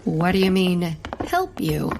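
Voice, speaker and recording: A woman's voice: on the low side, big pitch swings, unhurried, creaky, background noise, full character voice. An actress playing an earnest, principled female sleuth in a comedic audio drama, scolding and incredulous in manner. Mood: skeptical.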